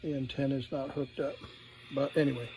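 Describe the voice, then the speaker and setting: A man giving a casual hands-on repair demonstration, unhurried and murmuring to himself as he works. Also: medium pitch, steady tone, a little mellow, low-quality recording. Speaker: vintage radio repair hobbyist, presenter. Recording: clear background noise, room ambience.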